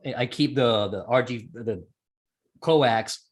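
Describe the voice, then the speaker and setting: A man's voice: mid-range voice, slow pace, breathy edge. A man being interviewed, explaining a technical procedure step by step in a conversational way and correcting himself as he goes. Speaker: interview guest, amateur radio operator and skydiver.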